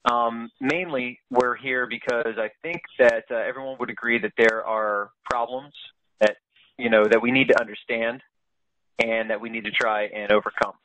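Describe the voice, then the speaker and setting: A man leading a group conference call, explaining things informally in a halting way, often searching for words. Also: fairly low pitch, sharp tone, no room sound, rough recording. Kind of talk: informal explanatory presentation, partly read aloud.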